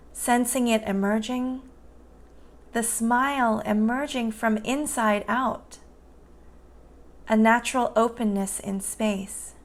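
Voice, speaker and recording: Slow, soothing woman guiding meditation. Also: a little high. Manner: soothing, unhurried, gentle. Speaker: meditation guide. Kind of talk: guided meditation narration.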